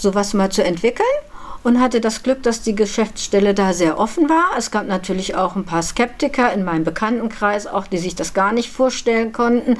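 A woman speaks as an event organiser being interviewed. She tells her story spontaneously, in a voice of medium pitch.

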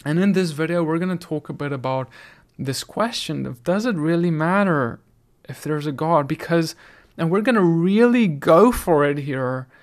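A man's voice: a little high, lively intonation, moderate pace. A middle-aged South African man whose first language is Afrikaans, giving an earnest, conversational sermon on video with frequent restarts. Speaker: preacher.

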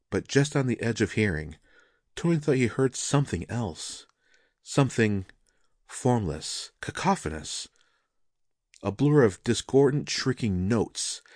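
Low-voiced man narrating dramatic fiction. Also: sing-song, takes it slowly, darkish tone, dry recording, clean studio sound. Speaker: story narrator.

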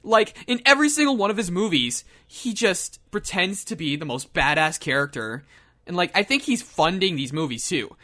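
A man in casual, joking podcast chat, telling stories with an animated, laughing delivery. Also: sits high, normal speed.

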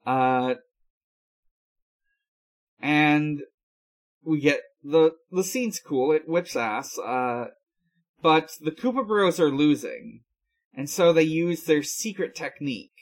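A man with a voice a little higher than average recaps in a casual chat. His delivery is slow and halting, with a hesitant feel as he hosts. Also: expressive. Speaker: podcast host.

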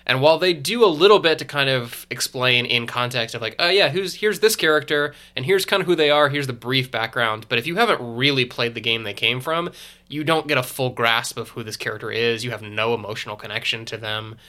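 A man with a congested, stuffy voice, chatting casually as a podcast guest in a thoughtful, measured way. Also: medium pitch, bright, forceful tone. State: congested from allergies.

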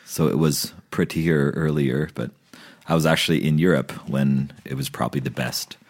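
A man with a naturally deep voice, chatting casually and laughing easily as a podcast co-host. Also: fairly even intonation, moderate pace. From New Mexico. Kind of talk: casual chat.

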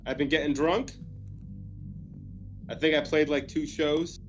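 A man with a stuffy, nasal voice from a recent cold, chatting in a deadpan, matter-of-fact way. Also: fairly high pitch, a little slow, dull audio, faint music behind. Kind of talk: casual chat.

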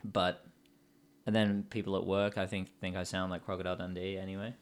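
A man's voice: low voice, level tone, fairly quick. A man from Australia hosting a podcast, asking playful, teasing questions. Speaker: podcast host. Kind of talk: casual podcast interview.

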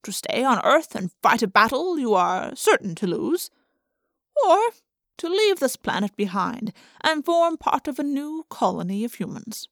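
A woman voicing an aloof, all-powerful alien in an audiobook, making calm, formal, measured pronouncements. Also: fairly high pitch, no room sound, clean studio sound, heavily acted. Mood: solemn.

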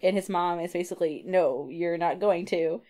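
A woman's voice: fairly low pitch, moderate pace, warm tone. A woman co-hosting a podcast, recapping a comic book in casual, conversational talk. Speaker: podcast co-host.

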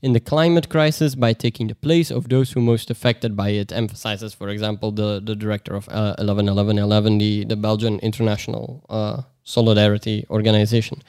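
A man's voice: fairly low pitch, little pitch movement, fairly quick, hardly any pauses, a little mellow, smooth, no echo, clean studio sound. A man in a casual discussion who hesitates with many "uh"s and speaks bluntly.

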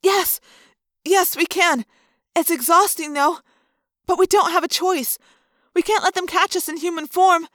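A woman's voice: extremely high pitch, fairly even intonation, measured pace, long pauses, a bit bright, no echo, clean studio sound, voice pushed up. A woman voicing a frightened, guilt-ridden teenage girl in an audiobook, with an anxious, pleading delivery. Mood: urgent. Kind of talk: audiobook character voice.

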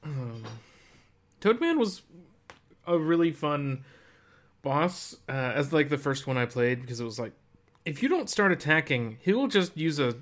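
A man's voice: a little high, very animated, unhurried, long pauses, fairly bright tone. A man co-hosting a video game podcast, explaining things in a casual, conversational way. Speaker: podcast co-host.

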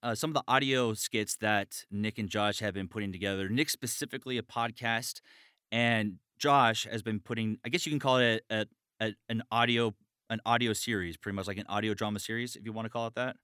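A man's voice: on the low side, steady tone, a little fast, bright, forceful tone, smooth, no echo, clean studio sound. A man hosting a show in a casual, chatty interview style. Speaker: show host.

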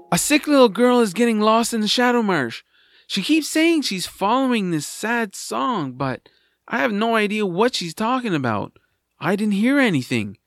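An earnest guardian, played by an actor, speaks urgently with a lively, swinging melody. The voice is close and dry, pleading and concerned, in family audio drama style. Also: mid-range voice, no echo. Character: earnest, worried guardian called the Sky Caller.

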